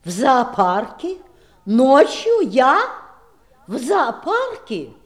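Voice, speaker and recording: A woman's voice: sits high, huge pitch swings, very slow, full character voice. A woman playing a prim, strict, haughty nanny in a 1960s audio play, with stern, curt phrasing. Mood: indignant, prim.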